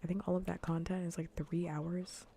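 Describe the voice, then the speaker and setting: Female ASMR game streamer: soft, mellow, gravelly fry, whisper-prone, relaxed rambling delivery on a close mic. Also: on the low side, moderate pace, a little hiss or hum. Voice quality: soft, breathy, often drifting into whispers. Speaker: livestreamer hosting a cozy gaming stream. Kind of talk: casual ASMR gaming stream chat. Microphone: close ASMR microphone.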